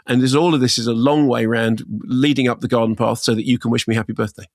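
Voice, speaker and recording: A man's voice: medium pitch, steady tone, quick pace, dry recording, studio quality. A man in his sixties co-hosting a casual podcast chat, telling rambling stories and often correcting himself mid-sentence. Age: 60s. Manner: rambling, self-correcting storyteller. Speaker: co-host.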